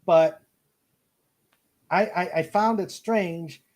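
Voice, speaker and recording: A man from Ohio with an American accent, hosting a casual live chat and often halting as he searches for words. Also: high-pitched, darkish tone. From Cleveland, Ohio, United States. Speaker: podcast host. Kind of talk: casual live chat, reading out listener comments.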